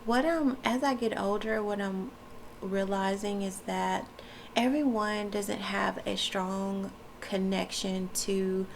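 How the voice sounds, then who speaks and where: A woman's voice: medium pitch, fairly even intonation, slow, vocal fry, a little hiss or hum. A woman talking casually to camera with a stuffy nose and a froggy voice, chatty and loose with many fillers. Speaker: wellness vlogger. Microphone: camera mic.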